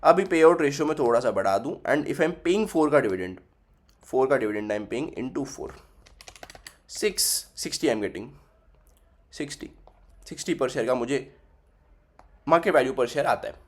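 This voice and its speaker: Slow-paced man working sums aloud. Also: mid-range voice, expressive. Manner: works sums aloud, repeating figures, mixing Hindi and English. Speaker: teacher. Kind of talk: recorded finance lesson.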